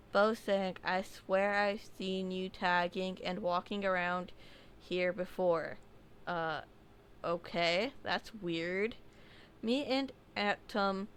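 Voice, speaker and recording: A woman reads a listener's scary story aloud, taking her time over every line. Her voice is smooth and clear, and she adds offhand asides and fixes her own slips as she goes. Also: mid-range voice. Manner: unhurried reading with small slips and asides. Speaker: horror story narrator. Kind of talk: reading a submitted story aloud.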